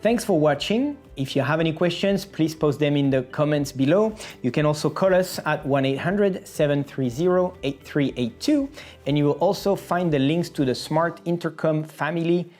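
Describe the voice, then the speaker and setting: Product explainer presenter, medium pitch, methodical step-by-step delivery, music underneath. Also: moderate pace, darkish tone. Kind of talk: scripted-sounding product explainer.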